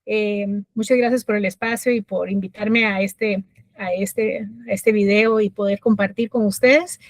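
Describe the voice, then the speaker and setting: A middle-aged woman from Costa Rica with a Costa Rican accent, a guest telling her life story in a reflective way with many pauses and fillers. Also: mid-range voice, fairly even intonation, no room sound. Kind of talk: personal story interview.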